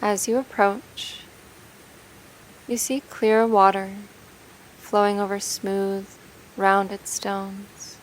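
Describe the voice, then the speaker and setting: A woman leads a guided meditation at a slow pace with gentle, soothing delivery. Her pitch stays very even from phrase to phrase. A soft, steady hiss sits behind the voice. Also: rough recording. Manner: soothing, gentle, unhurried with long pauses.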